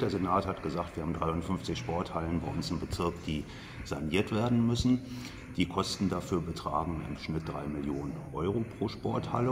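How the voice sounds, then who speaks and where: A man giving a political update to camera as a local politician. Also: low voice. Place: large glass-walled building lobby, indoors. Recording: noisy, ambient sound of a lobby.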